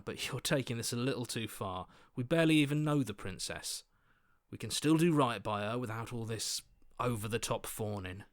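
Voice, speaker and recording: An actor playing an earnest, sensible young hero in a video game, level-headed and mildly sarcastic. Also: male voice, mid-range voice, dry recording, clean studio sound, close to an everyday voice. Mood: reproachful. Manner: level-headed, dryly reproachful. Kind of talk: video game character acting.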